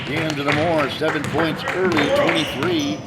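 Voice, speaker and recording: A man announcing basketball play by play in a brisk manner, calling the action as it happens. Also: fairly high pitch, level tone, heavy background noise. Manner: brisk, talks over the action. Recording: loud crowd and bouncing balls behind. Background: gym crowd and basketball bounces.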